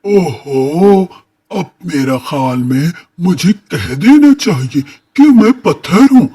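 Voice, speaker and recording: A man's voice: high-pitched, a little mellow, full character voice. A man voicing a greedy, dim-witted, scheming crocodile in a children's cartoon story. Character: greedy, silly male crocodile, scheming and gullible.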